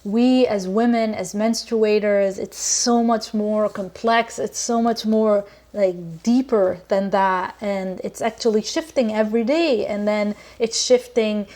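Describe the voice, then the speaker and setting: An earnest woman from Lebanon talks through her ideas in relaxed conversation. She circles back often, with plenty of fillers and restarts, and her pitch sits in the middle. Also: unhurried, few pauses. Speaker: guest. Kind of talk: casual conversation.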